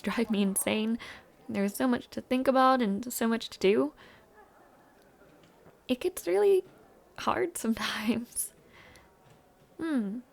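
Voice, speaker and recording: A young woman acting in an intimate audio roleplay as a warm, shy, playful university student, with a hesitant, giggly, softly teasing way of talking. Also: fairly high pitch, gravelly.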